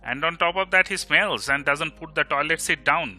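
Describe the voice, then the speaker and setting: A man's voice: on the high side, quick pace, bright, forceful tone. A man acting a grumbling, teasing young man in an amateur audio drama, with an Indian Bengali accent and a sarcastic, exasperated manner. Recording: music behind the voice.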